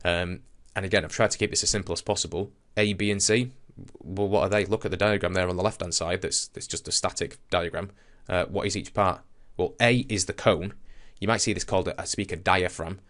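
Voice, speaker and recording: A man's voice: low-pitched, fairly even intonation, a little fast, hardly any pauses, fairly bright tone. A man teaching a science lesson in an informal, explanatory way, talking conversationally and thinking aloud as he demonstrates.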